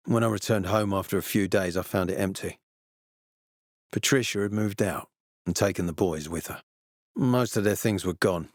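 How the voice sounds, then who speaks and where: A man playing a gruff, weary military officer in a video game, speaking with measured authority. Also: low voice, no room sound, studio quality. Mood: weary, regretful. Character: gruff, weary military officer, commanding, later a regretful father. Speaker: game character voice actor.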